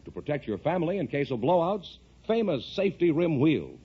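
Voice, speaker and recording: A man reading a 1950s radio advertisement as a commercial announcer, with an emphatic sales pitch. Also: big pitch swings, a little fast, breathy edge. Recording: muffled vintage radio recording.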